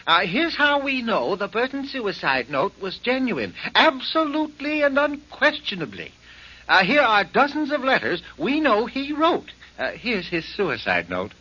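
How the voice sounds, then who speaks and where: A man's voice: extremely high pitch, huge pitch swings, a little slow, sharp tone, slightly rough, exaggerated voice. An actor playing a fussy, pedantic handwriting expert who doubles his adverbs, in a 1940s radio drama. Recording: dull lo-fi 1940s radio broadcast.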